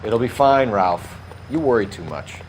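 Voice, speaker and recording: A man playing a low-voiced, self-assured young American cop. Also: expressive, normal speed, darkish tone, slight background noise, lightly acted, poor audio. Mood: confident, pressing. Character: keen, confident young male police patrolman in 1940s Los Angeles. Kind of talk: video game dialogue acting. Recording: music behind the voice.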